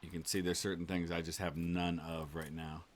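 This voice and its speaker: A man's voice: deep voice, flat delivery, normal speed, a little hiss or hum. A man giving laid-back, casual commentary as he plays a game, thinking aloud. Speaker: gaming YouTuber. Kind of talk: casual let's play commentary.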